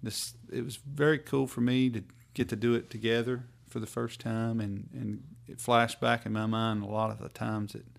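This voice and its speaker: A musician from North Carolina with a Southern accent, telling stories in a folksy, rambling way during a hotel-room interview. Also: male voice, mid-range voice, flat delivery, creaky. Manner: rambling, folksy, drawn-out phrasing. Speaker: bluegrass musician guest. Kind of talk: casual storytelling interview.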